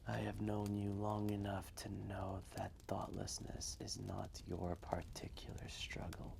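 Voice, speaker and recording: A man playing an ancient, wise and gentle dragon, telling a story in a hushed, breathy, measured voice with long pauses. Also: on the low side, completely flat delivery, slight background noise. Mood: gentle, reassuring. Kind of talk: slow intimate storytelling roleplay, ASMR-like.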